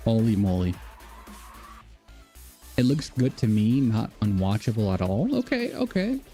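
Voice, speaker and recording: Male game streamer, lowish pitch, unhurried casual rambling, faint music underneath. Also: big pitch swings, dark tone. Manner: rambling, repeating words as he thinks aloud. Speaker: live streamer.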